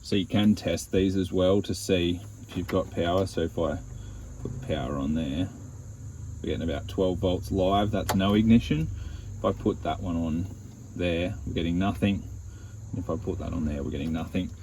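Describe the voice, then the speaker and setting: Aussie guy doing a diy how-to vlog, low voice, matter of fact, noisy background with bugs. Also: normal speed, darkish tone. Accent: Australian. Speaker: DIY van-build vlogger. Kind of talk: casual hands-on install explanation.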